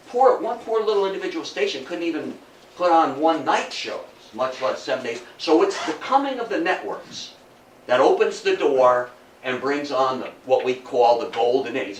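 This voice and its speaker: A man in his seventies giving a public history lecture in an emphatic storytelling manner, with rhetorical questions. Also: mid-range voice. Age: 70s. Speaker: guest lecturer on radio history. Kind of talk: public history talk to a live audience. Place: indoor room at a public talk. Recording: echoey room, distant mic, faint noise.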